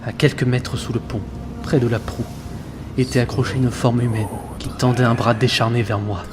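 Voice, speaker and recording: A man playing a haunted, uneasy sailor telling his journal in a tense, measured way for an audio drama. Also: mid-range voice, little pitch movement, background noise, close to an everyday voice. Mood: haunted, hushed, then terrified, intense. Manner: tense, suspenseful, measured storytelling. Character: haunted young male sailor keeping a journal at sea, uneasy, rational. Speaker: narrator and lead actor of an audio drama. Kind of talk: dramatic first-person narration, audio fiction. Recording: prominent music behind the voice. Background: dramatic music.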